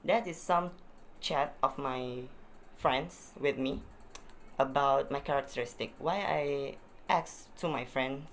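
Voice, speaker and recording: Young Indonesian man, English not his first language, chatty vlog delivery, halting and repetitive, light background noise. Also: mid-range voice. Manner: hesitant, repeats phrases, many uh fillers. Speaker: vlogger. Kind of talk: casual self-introduction to camera.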